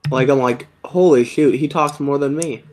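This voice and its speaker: A young man with a slight lisp, chatting casually as a video host, self-deprecating and trailing off quietly at the ends of his phrases. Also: medium pitch, normal speed, slight background noise. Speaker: YouTube Lego channel host. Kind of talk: casual chat.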